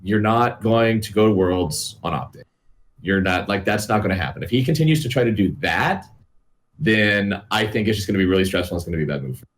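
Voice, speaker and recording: A man's voice: fairly low pitch, animated, fast talker. A man co-hosting a podcast, talking earnestly in a casual analytical chat, hedging often with filler words.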